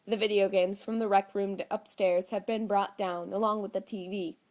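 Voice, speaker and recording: A woman reads a story aloud in audiobook style. Her pitch sits in the middle range. The delivery is scripted narration. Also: fairly even intonation, moderate pace, a little mellow. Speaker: audiobook reader. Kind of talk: scripted fan fiction narration.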